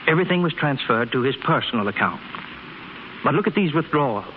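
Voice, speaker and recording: An actor playing a deferential, formal financial advisor in a 1930s radio drama, hesitant and careful. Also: male voice, mid-range voice, expressive, a little fast, phone-quality sound, lightly acted. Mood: puzzled. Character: polite, apologetic, puzzled male financial advisor. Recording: scratchy, thin 1930s radio broadcast recording.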